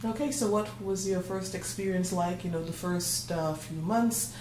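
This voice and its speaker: A woman's voice: on the low side, normal speed, a little mellow, slight background noise. A woman hosting an interview, asking questions and prompting her guest, often finishing his sentences. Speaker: interviewer, host. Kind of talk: conversational interview. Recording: echoey room, faint ambience.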